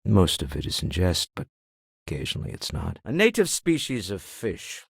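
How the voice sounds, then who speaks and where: A wealthy older businessman for a video game. His voice is low and husky, moving expressively as he speaks in measured, refined phrases. He comes across as urbane, wry and composed. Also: huge pitch swings, dry recording, clean studio sound, heavily acted. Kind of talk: scripted video game character dialogue.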